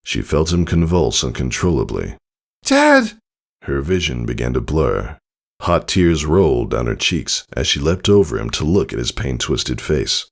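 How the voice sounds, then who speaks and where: A man's voice: extremely low pitch, animated, normal speed. A man narrating a scripted story in a dramatic reading style. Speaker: fan fiction narrator.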